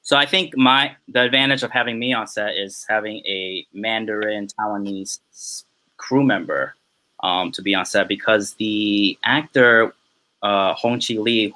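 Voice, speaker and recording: A young man speaks as a podcast guest in a bright, forceful voice. He rambles and pads his sentences with "you know" and "um". The talk is relaxed and conversational. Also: lowish voice, poor audio. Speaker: podcast guest, film assistant director. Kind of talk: casual interview chat.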